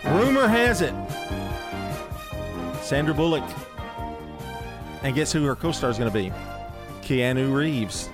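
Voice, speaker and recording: A man's voice: on the high side, animated, a little slow, long pauses, over music. Playful man hosting morning radio. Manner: playful, joking, laughs often. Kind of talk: casual morning radio banter.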